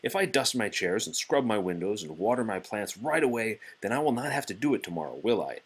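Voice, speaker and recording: A man voicing a gloomy, lazy toad in a children's storybook, complaining in a drawn-out, exaggerated way. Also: on the low side, very animated, breathy edge. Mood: reasoning, hopeful.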